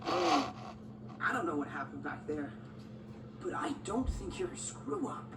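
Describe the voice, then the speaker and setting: Anxious, earnest teen boy for animated film, high and very excitable, music behind. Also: unhurried, distant mic, very noisy. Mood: apologetic. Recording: echoey film clip under loud music.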